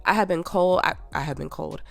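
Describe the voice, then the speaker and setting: A woman's voice: low-pitched, brisk, vocal fry. A nail technician woman narrating a chatty, detailed tutorial voiceover while stuffy with a cold. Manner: chatty, detailed, with many ums.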